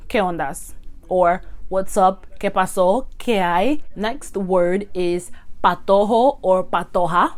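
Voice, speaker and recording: A young woman from Guatemala with a Guatemalan accent, casually teaching slang to the camera in a chatty, animated way. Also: mid-range voice. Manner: chatty and animated, gesturing with her hands. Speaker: vlogger. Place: small bedroom indoors.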